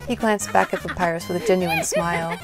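A woman's voice: on the low side, steady tone, a little fast. A woman reading scripted story narration as the narrator of an audio drama. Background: prominent music.

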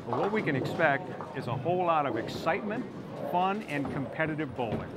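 A man's voice: a little high, lively intonation, fairly quick, heavy background noise. A middle-aged man hosting a bowling show in a bowling alley, explaining the format in an upbeat way on a lapel mic. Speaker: host. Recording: loud music underneath.

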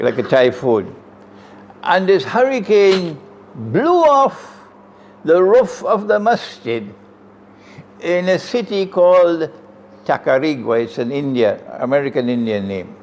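An older man with a Trinidadian accent lectures emphatically into a close stand mic, his voice pitched a little higher than most men's. He throws out rhetorical questions to the audience. A faint hiss of room noise sits under him. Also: sing-song, slow pace, pauses often, darkish tone. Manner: emphatic, rhetorical questions, sweeping hand gestures. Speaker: Islamic lecturer. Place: room with green chairs, indoors. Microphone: stand mic close in front.

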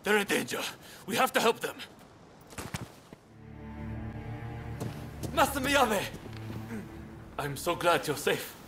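An actor playing a composed, resolute samurai rebel leader in a video game, speaking in a guarded, formal, measured way. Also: male voice, high voice, very animated, sharp tone, a little hiss or hum, exaggerated voice. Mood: urgent, alarmed. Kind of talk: video game cutscene voice acting. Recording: dramatic music behind the voice. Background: game score.

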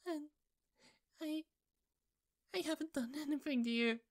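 Slow, halting hurt office coworker played by a woman. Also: high voice, voice pushed up. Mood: upset, pleading. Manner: halting, pleading, sighing pauses. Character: hurt, fed-up female office coworker confronting a bully. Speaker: ASMR roleplay performer. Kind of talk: ASMR roleplay, slow intimate monologue.

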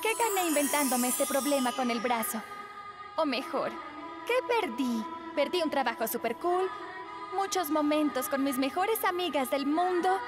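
High-voiced, sincere teen girl. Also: lively intonation, moderate pace. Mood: rueful reflection. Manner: earnest, confessional. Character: sensitive, sincere teenage girl, sweet and contrite. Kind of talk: animated cartoon dub. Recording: music behind the voice.

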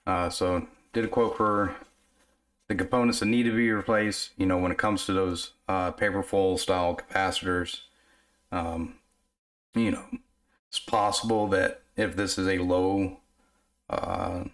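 A man with a deep, flat voice that is worn hoarse from being sick. He talks in a relaxed, unscripted way at his repair bench, full of pauses and fillers as he works. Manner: relaxed, filler-heavy explaining while working. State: hoarse from illness. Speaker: radio repair technician. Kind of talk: casual workshop narration. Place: workbench in a home repair shop.

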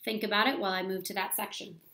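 A woman in her thirties reading a children's book aloud like a teacher, explaining deliberately and asking the young listeners questions. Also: medium pitch, flat delivery, bright, forceful tone. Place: small plain room at home, indoors.

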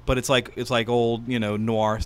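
Man with a mid-pitched voice, chatting casually. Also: level tone, moderate pace, smooth. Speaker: podcast co-host. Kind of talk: casual chat. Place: small podcast studio room. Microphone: close studio mic with headphones.

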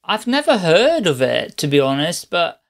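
A man's voice: fairly high pitch, big pitch swings, moderate pace. A man hosting an interview, attentive and friendly in conversation. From Netherlands. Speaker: podcast host.

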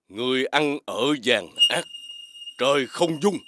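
A man playing a commanding but magnanimous older king and father in an animated fairy tale, with an imperious, expansive manner. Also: medium pitch, slow, a little hiss or hum. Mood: magnanimous, jovial. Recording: loud music behind the voice.

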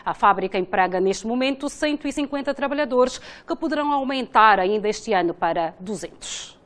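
Crisp female news anchor. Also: fairly high pitch, expressive, a little fast. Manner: crisp, formal. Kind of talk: news presenting.

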